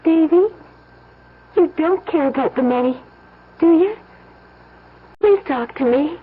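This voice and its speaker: A woman's voice: high voice, expressive, takes it slowly. An American woman playing a naive, devoted young bride in an old-time radio drama, pleading in a tremulous, anxious way. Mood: earnest devotion. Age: young adult. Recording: tinny, noisy old radio broadcast.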